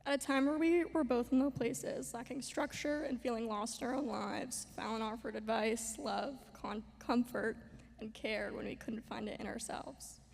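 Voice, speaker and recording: A young woman reads a tribute aloud, her high voice edged with vocal fry. She sounds moved to the point of tears, and her voice rings in a reverberant sanctuary. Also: normal speed. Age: young adult. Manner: emotional, close to tears. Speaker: former student giving a tribute. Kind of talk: reading a prepared tribute. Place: synagogue sanctuary. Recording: long hall reverb.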